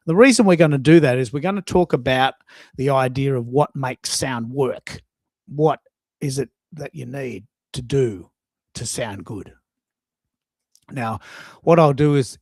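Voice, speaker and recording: A man's voice: mid-range voice, no echo. A man with an Australian accent, hosting a live audio show in a casual, rambling, hesitant way. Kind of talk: casual unscripted live chat.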